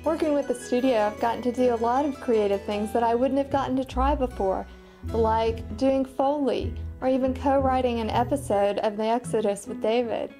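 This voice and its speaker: Mellow, higher-voiced woman reminiscing. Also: normal speed, faint music behind. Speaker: studio collaborator and voice actor. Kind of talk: documentary interview reminiscence.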